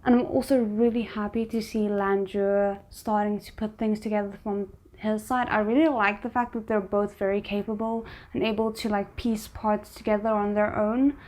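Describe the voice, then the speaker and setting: A woman's voice: medium pitch, little pitch movement, normal speed, few pauses, darkish tone. A woman giving casual reaction commentary as a host, musing and thinking aloud. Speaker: host of a drama reaction channel.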